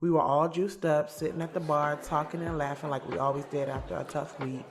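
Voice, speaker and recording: A woman's voice: low voice, flat delivery, fairly quick, noisy background. A woman playing a sassy, candid young woman in an audio drama, telling her story in a casual, slangy way, swearing freely. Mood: wistful, amused. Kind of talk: audio drama first-person narration and dialogue. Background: music.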